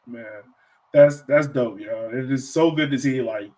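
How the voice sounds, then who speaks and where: A man's voice: mid-range voice, a little slow, mellow. Casual American man hosting a podcast. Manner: informal, slangy, says "man" and "yo" often. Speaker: podcast host, interviewer. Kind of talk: casual interview chat.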